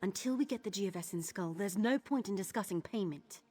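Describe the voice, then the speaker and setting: A woman's voice: medium pitch, brisk, mellow, breathy edge, faint music behind, lightly acted. A woman playing an eager, nervy young female thief in a video game, chatty and conspiratorial. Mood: focused, eager. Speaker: game character, thief companion.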